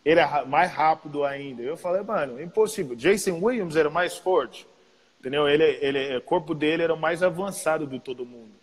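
A man's voice: on the high side, a little fast, husky. A man with an American accent, a native English speaker, chatting casually in a slangy way full of repeated tags. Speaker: podcast interviewer, basketball player. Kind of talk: casual chat. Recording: long reverb, roomy sound.

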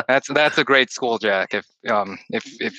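A man's voice: mid-range voice, unhurried, bright, forceful tone, dry recording, low-quality recording. A man from Texas, a podcast guest, chatting over a call in a long-winded, rambling way, with Chinese as his first language. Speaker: podcast guest, returned missionary. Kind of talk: casual chat over a call. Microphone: call-in line.

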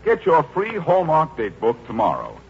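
A man's voice: on the high side, wildly swooping pitch, normal speed, creaky. A man announcing for a sponsor on 1940s radio, with a polished, courteous delivery. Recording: noisy, muffled 1940s radio recording.

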